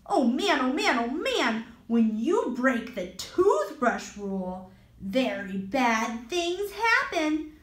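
A woman's voice: sits high, big pitch swings, slow pace, pitched above the performer's own voice, exaggerated voice. A woman reading a storybook aloud and voicing a bold, bossy little boy with an emphatic sing-song delivery. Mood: spooky. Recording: roomy echo.

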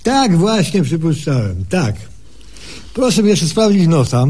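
A man's voice: fairly high pitch, sing-song, darkish tone, slightly rough, heavily acted. An actor playing a cocky, simple-minded male patient in a humorous radio sketch, with folksy, ungrammatical speech. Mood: sheepish. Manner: folksy, ungrammatical, drawn-out exclamations.

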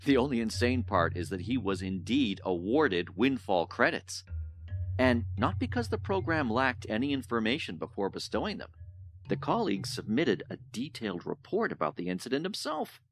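A man's voice: mid-range voice, very animated, normal speed, natural voice. An actor playing an older male professor in an audio drama, lecturing in formal, condescending, coldly rational tones. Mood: defensive, emphatic. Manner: pompous, lecturing, formal wording. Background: music.

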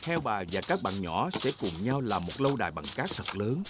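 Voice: mid-range voice, sing-song, brisk, loud music behind the voice, close to an everyday voice. A performer narrating a dubbed preschool cartoon in a simple storybook style. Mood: storytelling.

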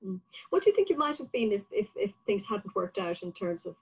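A woman's voice: mid-range voice, lively intonation, brisk, breathy edge. An older woman asking tentatively phrased questions as the interviewer in a conversational video-call interview. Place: indoor room with bookshelves. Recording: slightly dull video-call audio.

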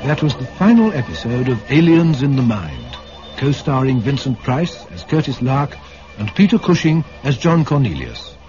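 Male announcer reading credits, lively pitch, muffled old radio, faint music. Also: moderate pace. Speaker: continuity announcer. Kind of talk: scripted broadcast credits. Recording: dull 1970s radio broadcast.

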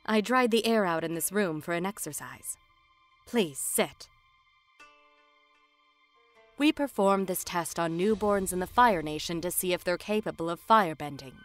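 A woman playing a stern, composed firebending instructor in an animated fan drama, speaking in a measured, authoritative way. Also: on the low side, faint music behind, lightly acted. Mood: calm, instructive. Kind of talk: fan visual novel voice acting.

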